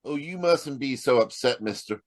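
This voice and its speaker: An actor playing the kindly, reassuring ghost of a dead husband in an old-style radio mystery. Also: male voice, mid-range voice, animated, normal speed, no room sound, clean studio sound, lightly acted. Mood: gentle, reassuring. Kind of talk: 1940s radio mystery acting. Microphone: video call.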